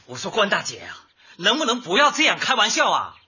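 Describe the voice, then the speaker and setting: A man's voice: high-pitched, huge pitch swings, a little fast, sharp tone, husky, heavily acted. A male actor playing an agitated, insistent young man in a comic radio drama, wheedling and accusing. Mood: pleading. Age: young adult. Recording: dull lo-fi audio.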